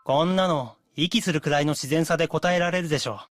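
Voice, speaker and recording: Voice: medium pitch, very fast, lightly acted. A performer voicing a cocky, demanding young anime guy in Japanese style, haughty, teasing and a little sulky. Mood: smug, chatty.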